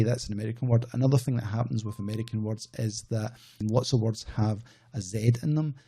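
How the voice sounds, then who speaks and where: A man's voice: fairly low pitch, vocal fry, warm tone, husky. A man with a Scottish accent, hosting his own channel and talking casually to camera, rambling and correcting himself as he goes. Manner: rambling, self-correcting, conversational. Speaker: outsourcing business channel host.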